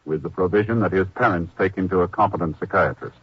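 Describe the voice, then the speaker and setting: A man with an American accent reading formal, measured scripted announcements in the style of 1950s radio. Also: low voice, noisy background. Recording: dull old radio broadcast recording. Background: music.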